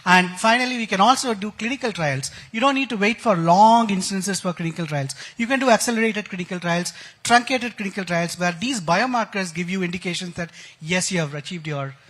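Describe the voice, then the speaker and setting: A man's voice: sits high, brisk, a bit bright. A man with an Indian accent, an enthusiastic expert guest explaining technical ideas in a conference interview. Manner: enthusiastic, uses analogies and technical jargon. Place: conference stage.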